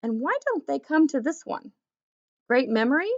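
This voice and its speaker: Female storybook reader, high voice with creaky edges, expressive narration, slightly muffled recording. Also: moderate pace. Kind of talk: children's book read-aloud, expressive narration.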